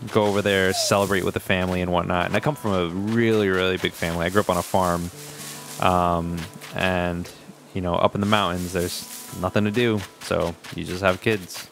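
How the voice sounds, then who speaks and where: A man from Puerto Rico hosting a let's play, chatting casually with viewers and laughing often as he plays, recorded on a desk mic in his home room. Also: low voice. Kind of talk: casual gaming commentary. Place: home gaming room, indoors. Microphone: desk microphone with webcam.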